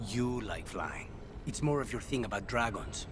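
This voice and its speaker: A man playing a dry, reserved, gruff companion in a game, answering in a terse deadpan. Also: lowish voice, normal speed, long reverb tail, natural voice. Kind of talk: video game character dialogue. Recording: noisy outdoor-like ambience.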